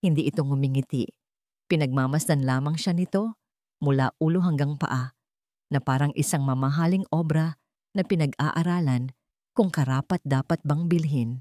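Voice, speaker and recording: A woman's voice: deep voice, normal speed, warm tone, dry recording, studio quality. A woman with a Filipino accent reading a scripted dramatic story as its narrator.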